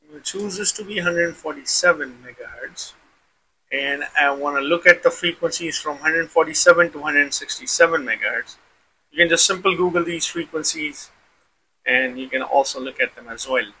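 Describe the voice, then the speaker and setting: A man's voice: on the high side, moderate pace, sharp tone, slight background noise, poor audio. A man hosting an informal tech demonstration, explaining step by step and often repeating himself. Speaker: tutorial host.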